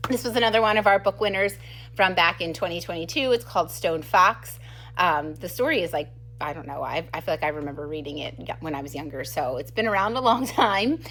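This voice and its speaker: A middle-aged woman with a slightly low voice chats casually and eagerly about books like a schoolteacher. She has an enthusiastic, giggly way of talking. The recording carries a faint humming noise. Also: expressive, brisk, fairly bright tone. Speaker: second grade teacher presenting book recommendations. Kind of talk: casual book talk to camera.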